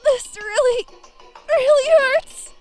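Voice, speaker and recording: A woman's voice: very high voice, slow, over loud music. A woman voicing an earnest, anxious young schoolteacher with emotional defiance in a horror game dub. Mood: hurt. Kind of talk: dramatic fan game dub voice acting.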